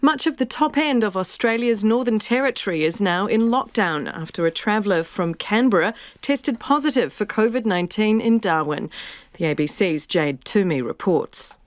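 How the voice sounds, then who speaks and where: A woman reading the evening news bulletin in a measured, formal way. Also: mid-range voice, lively intonation, no room sound. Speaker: newsreader.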